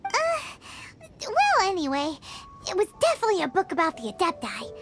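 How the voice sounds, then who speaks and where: Very high, chirpy little fairy companion. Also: female voice, huge pitch swings, brisk, loud music behind the voice, exaggerated voice. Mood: excited, curious. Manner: refers to self in third person, exclamatory. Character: small chirpy childlike fairy companion, curious and talkative. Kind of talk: video game character dialogue.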